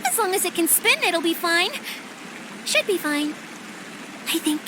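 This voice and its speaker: A woman's voice: very high voice, big pitch swings, a little slow, very noisy, exaggerated voice. A woman voicing a shy but cheerful female water spirit mascot in a video game cutscene, bubbly and easily flustered. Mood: bubbly, confident.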